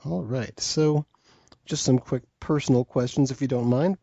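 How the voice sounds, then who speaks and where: A man's voice: medium pitch, moderate pace, creaky, mellow, no echo. A man interviewing a guest with casual, conversational questions. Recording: dull, dry lo-fi audio.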